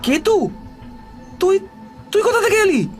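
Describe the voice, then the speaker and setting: A high-strung villager in an audio drama, with an extremely high, swooping voice. He exclaims in agitated disbelief over faint music. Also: big pitch swings, measured pace, heavily acted. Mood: shocked. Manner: exclamatory, incredulous. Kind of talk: Bengali audio story acting.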